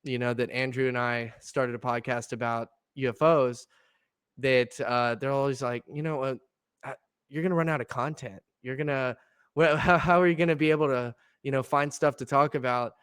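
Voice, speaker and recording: A young man co-hosting a laid-back podcast, his voice a little gravelly and nasal from allergy congestion. He speaks at a middling pitch, and the sound comes through smooth and clear in a dry, close room. He rambles easily, restarts sentences and laughs often. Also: steady tone, moderate pace, fairly bright tone, no echo. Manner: easygoing, laughs often, many fillers and restarts. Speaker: podcast co-host. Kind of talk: casual chat.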